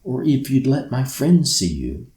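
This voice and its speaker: A man's voice: mid-range voice, big pitch swings, measured pace, mellow, reverberant, voice pushed up. A man voicing an earnest, eager young girl in a children's bedtime story. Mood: hopeful. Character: Thompson, earnest young girl, frustrated, eager, full of wonder.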